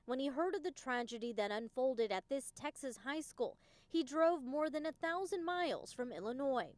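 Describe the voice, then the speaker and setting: A woman's voice: sits high, expressive, normal speed, a little mellow. A woman working as a field reporter, delivering broadcast news reports in a scripted, on-location style. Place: outdoors at a memorial for live reports.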